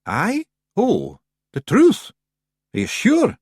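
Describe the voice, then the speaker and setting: A man voicing a tiny, nervous Scottish fairy chief in a squeaky, shrill voice. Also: big pitch swings, moderate pace, no echo, clean studio sound. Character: tiny nervous fairy clan chief, fast-talking and evasive.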